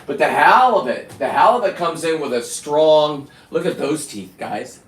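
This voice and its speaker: A man hosting a casual livestream, talking in a halting, digressive way as he chats to someone off-screen and to his viewers. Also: fairly high pitch, animated. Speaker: live stream host. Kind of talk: casual, rambling hands-on livestream chat. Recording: echoey room, distant from the mic.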